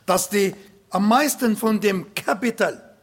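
A man preaching a sermon in German with an Arabic accent, non-native grammar and emphatic, slowly built phrases. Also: sits high. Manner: emphatic, slow, swells louder on key points.

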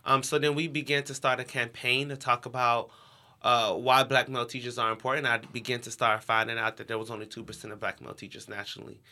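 Interview guest: American male, young adult, crisp bright timbre, informal conversational delivery. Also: medium pitch, level tone, fast. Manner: informal, heavy use of "like". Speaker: interview guest, education nonprofit co-founder.

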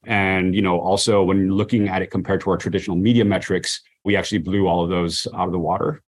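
Low-pitched man talking casually. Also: flat delivery, fast talker. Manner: conversational, peppered with "you know" and "like". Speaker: brand marketer, interview guest. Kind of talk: casual interview answers about work.

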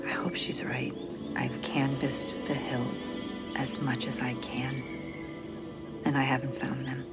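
A woman's voice: extremely low pitch, flat delivery, takes it slowly, over loud music. An actress playing a stressed, weary space mission officer who is protective of her crew, in an audio drama. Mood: subdued, uneasy. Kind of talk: audio drama acting, logged report monologue.

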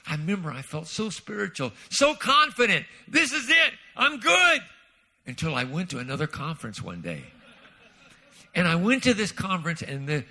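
A man preaching a storytelling sermon, his voice set a little high with a bright, forceful edge. His pitch swings widely as he moves between hushed asides and loud bursts, and a gravelly fry runs through it. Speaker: guest preacher.

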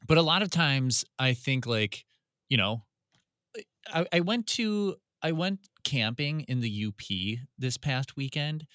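A man's voice: medium pitch, animated, unhurried, fairly bright tone, dry recording, studio quality. A man, a radio music director answering interview questions in a searching way, full of restarts. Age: 30s.

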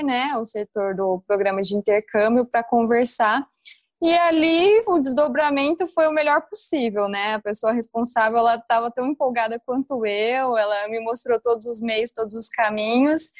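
Young woman podcast guest, fairly high voice, dry close sound, caipira interior São Paulo accent, casual storytelling. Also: no room sound. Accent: rural interior São Paulo Brazilian (caipira). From interior of São Paulo state, Brazil.

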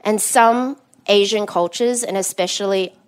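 A woman's voice: medium pitch, unhurried. A woman in her thirties with an Australian accent, chatting as a podcast guest, laughing often and restarting her sentences. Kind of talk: casual interview.

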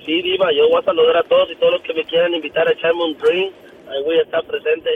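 A man's voice: a little high, steady tone, fast talker. A young man with a Mexican accent calling into a radio show, eager and laughing as he talks. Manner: eager, laughing, repeats the host's name. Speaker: caller. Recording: dull phone-call audio with faint noise.

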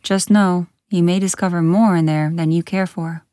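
A woman's voice: lowish voice, fairly even intonation, normal speed, warm tone, smooth, no room sound, studio quality, close to an everyday voice. A woman voicing an old man in a storybook, muttering in an ominous, cautionary way. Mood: ominous warning.

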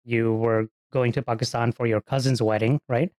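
A man's voice: medium pitch, level tone, normal speed, darkish tone. A man hosting an interview podcast. He reads a scripted intro, then asks friendly conversational questions. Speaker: podcast host.

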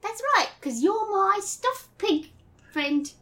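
A small, unworried British boy, put on by a woman, very high and lilting. The accent leans cockney and the manner is polite and childlike, with big swings in pitch. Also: lively intonation, slow pace, fairly bright tone, full character voice. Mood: chirpy, naive. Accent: British, cockney-leaning.

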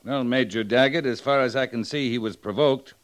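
An actor playing a cavalry captain in an old radio western, authoritative, formal and clipped. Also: male voice, medium pitch, brisk, natural voice. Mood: measured. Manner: clipped, formal military address. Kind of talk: 1950s radio western acting.

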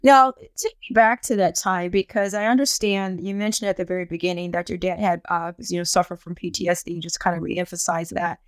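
A woman's voice: fairly low pitch, steady tone, brisk, hardly any pauses, dry recording. A woman hosting an interview podcast in a conversational style.

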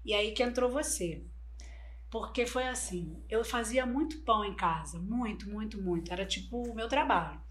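A woman's voice: mid-range voice, moderate pace, fairly bright tone, a little hiss or hum. A middle-aged woman born in Brazil, a native Spanish speaker, chatting as a guest in an interview and telling her story at length. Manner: chatty storyteller, often adds "né". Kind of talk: casual interview chat.

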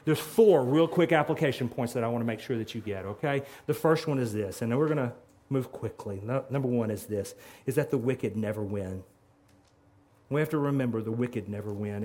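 A man's voice: medium pitch, darkish tone. A middle-aged man preaching a sermon in a church, earnest, with frequent restarts and repeated phrases. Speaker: pastor. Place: church sanctuary.

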